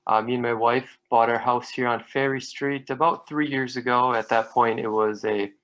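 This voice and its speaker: A man's voice: mid-range voice, fairly even intonation, normal speed, a bit bright, rough recording. A man giving an informal campaign introduction as a council candidate, plain-spoken and conversational.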